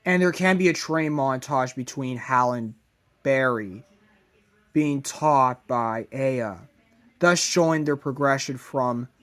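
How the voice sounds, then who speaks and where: A man narrating a fan-made plot idea in a halting way, in short broken phrases. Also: medium pitch, a bit bright.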